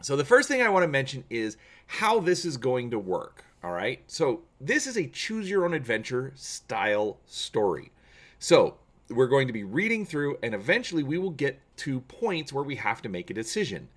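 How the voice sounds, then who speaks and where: Guy hosting a gaming channel, really animated up and down voice, reads stuff out dramatically. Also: mid-range voice, normal speed. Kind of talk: dramatic reading and explaining to viewers.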